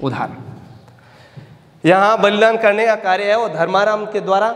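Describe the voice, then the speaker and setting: A male teacher giving a grammar lesson, dictating examples slowly, repeating phrases and answering his own questions. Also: high voice, fairly bright tone. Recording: echoey room with long reverb.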